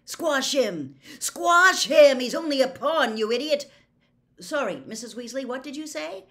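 A woman's voice: fairly high pitch, very animated, moderate pace, pitched above the performer's own voice. A woman voicing a hot-headed teenage wizard boy in an audiobook, blurting his words with blank or indignant surprise. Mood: bewildered. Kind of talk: audiobook character voice.

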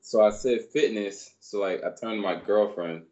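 A man in his thirties from Barbados, raised in New York, telling his story as a guest in a relaxed, rambling way on a video call. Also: lowish voice, moderate pace, highs cut off. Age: 30s. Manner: relaxed, rambling, many fillers. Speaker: guest, personal trainer. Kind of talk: casual storytelling interview. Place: small room indoors. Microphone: video call webcam.

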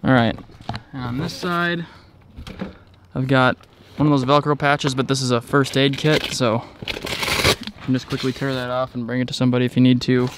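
A man's voice: mid-range voice, steady tone, moderate pace, noisy background. A man vlogging outdoors, narrating a casual walkaround in a rambling, informal way. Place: outdoors in a driveway beside a truck.

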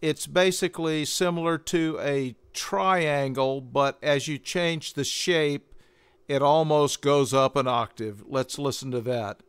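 An older man giving a measured, methodical instructional demonstration as a tutorial presenter. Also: a little high, steady tone, fairly bright tone, clear, resonant tone, no room sound.

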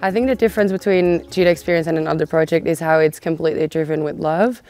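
Even, low-pitched young woman. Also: fast, no echo. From Belgium. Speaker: volunteer coordinator. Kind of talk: documentary interview. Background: music.

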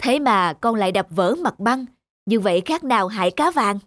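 A woman voicing a calm, wise father bear who patiently explains things to his son. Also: medium pitch, normal speed, dry recording, pitched below the performer's own voice, natural voice. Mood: gentle wise. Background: music.

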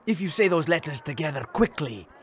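An actor playing a grandiose crackpot treasure hunter who draws out every revelation in a comedy audio drama. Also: male voice, a little high, very animated, a crowd in the background. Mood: explaining dramatically. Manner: grandiose, drawn-out suspenseful delivery. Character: theatrical male crackpot treasure hunter revealing secrets.

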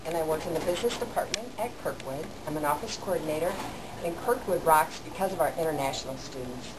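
A woman's voice: low-pitched, fast talker. A woman who works as an office coordinator gives a short testimonial to camera. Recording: noisy, distant camera microphone.

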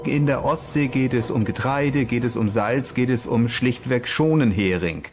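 Male history expert, mid-pitched, unscripted explanatory interview talk. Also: normal speed, faint music behind.

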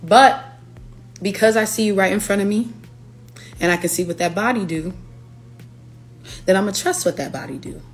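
Raspy, allergy-hoarse young woman chatting live. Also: medium pitch, normal speed, pauses often, soft background music. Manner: chatty, playful, breaks into lyrics. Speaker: singer-songwriter. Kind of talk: casual livestream chat. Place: her living room at home, indoors. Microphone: phone camera at arm's length.

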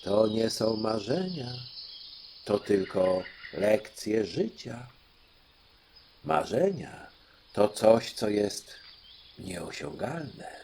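A regal storybook fairy queen, acted by a man, speaks with slow, deliberate poise. The lines swing widely in pitch and stay composed and theatrical, over faint background noise. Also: sing-song, darkish tone, full character voice. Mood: regal, calm. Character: fairy queen granting royal children's dreams, female, composed. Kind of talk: audiobook storytelling.

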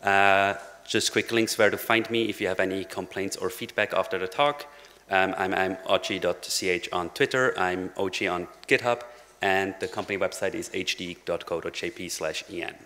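A man's voice: sits low, fairly even intonation, normal speed, pushed and bright. A young man giving a casual technical conference talk at a lectern, with many "uh" fillers and dry jokes. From Switzerland. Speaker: conference speaker. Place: large conference hall at a lectern. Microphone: lectern microphone. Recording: long hall reverb.

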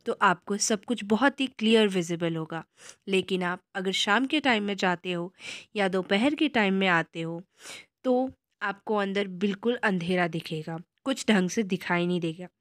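A woman with an Indian accent narrates an informative travel guide in a measured, scripted way. Her pitch is average for a woman and English terms slip in often. The sound is dry, with no room around it. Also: dry recording.

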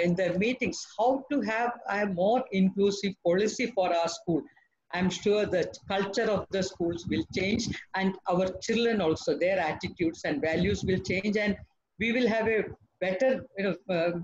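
A woman's voice: medium pitch, darkish tone. An older woman, a former school principal speaking hesitantly with many fillers on a video call. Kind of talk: panel discussion remarks. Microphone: laptop webcam on video call. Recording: muffled video call audio.

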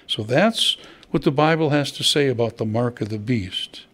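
A man's voice: mid-range voice, moderate pace, fairly bright tone, husky. An older man, a pastor, preaching a sermon at a church pulpit, conversational and asking his listeners questions. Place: church hall at a wooden pulpit. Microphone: stand mic at the pulpit.

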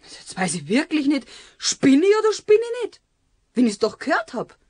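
A practical, nagging Bavarian housewife in a children's audio play. Her voice is fairly high and swings widely, in a dry recording. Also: wildly swooping pitch, normal speed, a little mellow, no echo. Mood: insistent. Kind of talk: children's radio play acting.

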